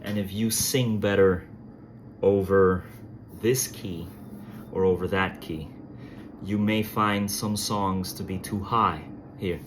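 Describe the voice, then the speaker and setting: A man with a low voice giving a casual, thoughtful demonstration on a phone vlog, talking slowly with soft pauses. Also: steady tone, slight background noise. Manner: slow, thoughtful, reflective talk with soft fillers. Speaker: musician reviewing handpan drums. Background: tonal drum playing.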